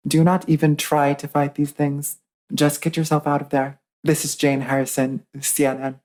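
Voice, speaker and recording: A performer voicing a grave female TV news anchor, giving a formal, measured breaking emergency report in a scripted drama. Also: medium pitch, flat delivery, darkish tone, lightly acted. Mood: grave, urgent.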